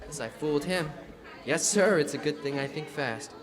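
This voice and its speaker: Naive teen boy, male actor, high-pitched, pleading, radio comedy delivery, live hall with audience. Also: moderate pace, crowd noise, close to an everyday voice. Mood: eager, pleased. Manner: earnest, pleading, gee-whiz exclamations. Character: naive, hapless, excitable teenage boy from comics. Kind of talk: classic radio comedy acting on stage. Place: school theatre stage. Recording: live stage recording, hall reverb, audience.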